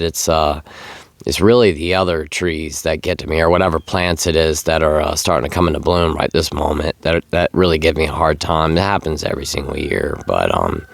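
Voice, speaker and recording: Middle-aged man, extremely low voice, heavy vocal fry, worn-out and losing his voice, halting casual vlog delivery. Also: dry recording. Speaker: sobriety vlogger. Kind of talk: casual vlog talk to camera. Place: outdoors.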